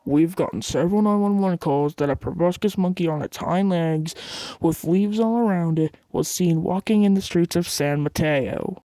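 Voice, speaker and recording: Robotic lady reading a police alert, kinda low and mellow, dry sound. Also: moderate pace, no room sound, rough recording. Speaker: emergency alert announcer. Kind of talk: synthetic emergency broadcast reading.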